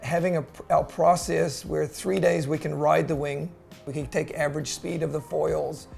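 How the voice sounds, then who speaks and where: A middle-aged man with a New Zealand accent tells the story of his company in a relaxed, casual way, stopping often on "uh". His voice sits a little high and has a strong gravelly fry. Soft music plays faintly behind him. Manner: relaxed, with frequent "uh" pauses. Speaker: company co-founder. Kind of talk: casual promotional chat.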